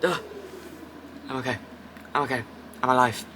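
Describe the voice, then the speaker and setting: A man's voice: mid-range voice, steady tone, moderate pace, heavy background noise. A man casually playing a story game, reading its narration aloud and chatting between lines, now and then swearing. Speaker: let's play host.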